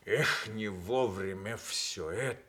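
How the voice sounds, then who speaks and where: Weary, forceful old-soldier commander. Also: male voice, fairly low pitch, slow, slight background noise. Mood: weary, resigned. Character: ailing war-veteran commander, weary yet hearty. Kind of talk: Soviet radio play acting.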